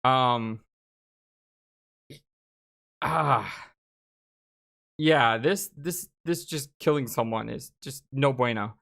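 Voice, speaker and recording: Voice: medium pitch, very slow, creaky, long pauses, fairly bright tone. A gaming channel host giving casual, reactive let's play commentary in an exclamatory way. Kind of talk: blind let's play commentary, casual chat and reading dialogue aloud.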